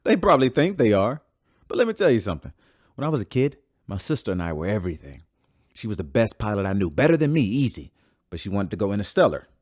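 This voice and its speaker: A man's voice: on the low side, big pitch swings, fast, darkish tone, no echo, studio quality. An actor playing a bitter man who still grieves a lost sister, talking heatedly in an audio drama. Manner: heated, emphatic.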